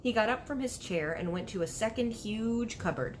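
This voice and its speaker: A woman reading a children's book aloud in an expressive narrating style. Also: on the high side, moderate pace, slight background noise. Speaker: storybook reader.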